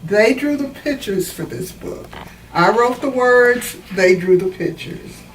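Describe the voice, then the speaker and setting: A woman reads and explains a storybook for children in a teacherly manner. Her pace is slow and deliberate, and the recording is roomy and a little distant, with faint noise behind her. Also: a little high, animated. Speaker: author reading own book.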